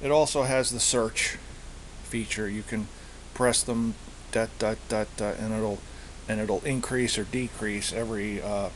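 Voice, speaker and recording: A man giving a casual show-and-tell of his gear, speaking haltingly and often repeating himself as he searches for words. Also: on the low side, steady tone, low-quality recording. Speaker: hobbyist presenter. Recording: hissy amateur home recording.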